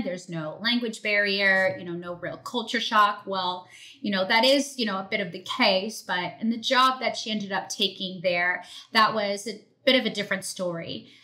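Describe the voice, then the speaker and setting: A woman's voice: medium pitch, pushed and bright. A woman hosting a podcast, introducing and interviewing in a conversational style. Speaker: podcast host.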